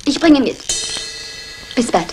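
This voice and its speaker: An actress playing a brisk, polite well-off woman in a 1960s German crime radio play. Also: sits high, very animated, music in the background, low-quality recording.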